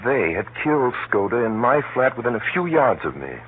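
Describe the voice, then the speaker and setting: An actor playing a hunted man who recounts his flight gravely and tensely, with dramatic measured pauses, in a 1930s radio drama. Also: mid-range voice, big pitch swings, lightly acted. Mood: dread, brooding. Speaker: narrator and lead actor. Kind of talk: 1930s radio drama first-person narration. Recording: scratchy, muffled 1930s radio broadcast.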